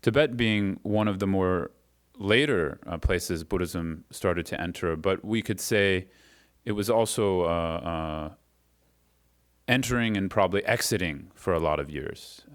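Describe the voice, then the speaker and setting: Slow, deep-voiced man teaching Buddhism. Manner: slow, soft-spoken meditation guidance; chants mantra slowly and drawn out. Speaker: guest speaker on Buddhism. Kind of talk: guided meditation and chanting, then lecture. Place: meditation hall at a religious society.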